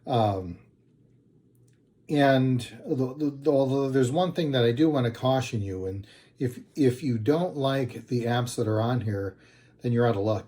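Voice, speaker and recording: Male reviewer, slow pace, soft-edged mellow tone, casual, halting, self-correcting. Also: mid-range voice. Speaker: product reviewer, channel host. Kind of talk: casual unscripted product review.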